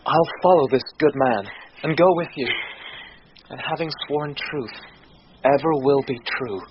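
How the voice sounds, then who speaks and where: An actor playing a bewildered, smitten young gentleman who reasons aloud with wonder in classical Shakespearean radio drama style. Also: medium pitch, unhurried, close to an everyday voice. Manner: wondering, articulate soliloquy. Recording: dull lo-fi radio recording, clear noise.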